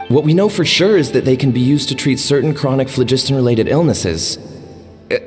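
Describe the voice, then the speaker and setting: A man's voice: mid-range voice, lively intonation, a little fast, natural voice. Man playing an earnest healer, long reverb. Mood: grave, determined. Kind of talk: video game voice acting, dramatic dialogue. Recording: long reverb, music underneath.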